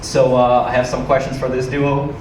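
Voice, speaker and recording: A man from Ukraine performing stand-up comedy to a live audience with a dry, joking delivery and hesitant pauses. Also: mid-range voice, level tone, darkish tone. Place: small comedy club stage, live audience. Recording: roomy, noisy club recording from a distance.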